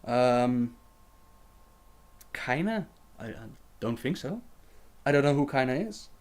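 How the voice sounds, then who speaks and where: A man's voice: medium pitch, animated, slow pace, a little mellow. A young man hosting a casual livestream Q&A, reading viewer questions aloud and answering them chattily with fillers and laughs.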